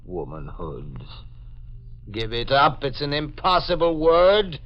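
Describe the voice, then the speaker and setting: An actor playing a cold, domineering, sardonic brother in a 1940s radio mystery. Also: male voice, medium pitch, wildly swooping pitch. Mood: sardonic, challenging. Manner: sardonic, deliberate. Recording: dull, noisy 1940s radio recording.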